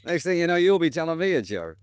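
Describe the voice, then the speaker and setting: An actor playing a wry, caring American investigator hero in old-time radio detective style, casual and colloquial. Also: male voice, a little high, sing-song, fast talker, slight background noise. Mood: playfully joking. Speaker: lead actor and narrator. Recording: old radio drama with music.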